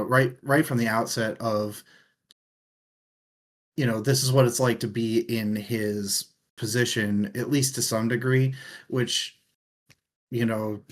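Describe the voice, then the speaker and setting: A man co-hosting a casual book discussion in an irreverent, casually profane way. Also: fairly low pitch. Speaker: podcast co-host.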